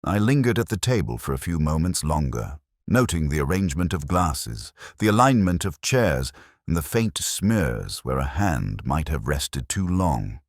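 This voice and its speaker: A man narrating a first-person literary detective story in a measured, formal, contemplative manner. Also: very deep voice, sing-song, no echo, clean studio sound.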